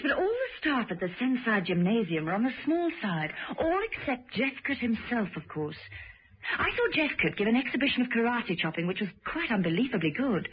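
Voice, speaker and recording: An actress playing a poised, quick-witted British woman investigator in a radio drama, wry and inquisitive, on an old, hissy radio recording. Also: a little high, husky, dull audio.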